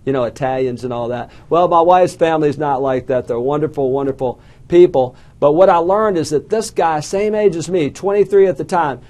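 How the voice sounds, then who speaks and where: Southern man from Alabama, middle-aged, slightly high voice; folksy motivational speaker who asks the crowd questions. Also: brisk, hardly any pauses, darkish tone. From southern United States. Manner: folksy storytelling with self-deprecating jokes and questions to the crowd. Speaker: small business coach speaker. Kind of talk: motivational talk to a live audience.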